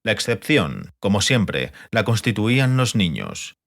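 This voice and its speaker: A man reads literary fantasy as an audiobook narrator. His voice is on the low side, and his intonation moves widely and expressively. The delivery is scripted storytelling. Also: wildly swooping pitch, unhurried, creaky, a bit bright.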